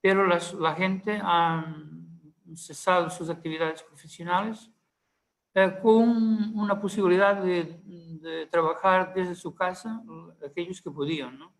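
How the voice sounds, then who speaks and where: A man's voice: high voice, fairly even intonation, a little slow, a bit bright, low-quality recording. A man speaking Spanish with a Portuguese accent, a panelist giving a conference talk, hesitant with many fillers and restarts.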